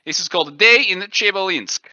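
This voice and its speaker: A man's voice: high voice, brisk, sharp tone, dry recording, lo-fi sound. A man playing a boastful, patriotic Soviet Russian miner in comic roleplay, with a put-on Russian accent and a bombastic, mock-solemn manner in broken grammar. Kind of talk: improvised comedy roleplay.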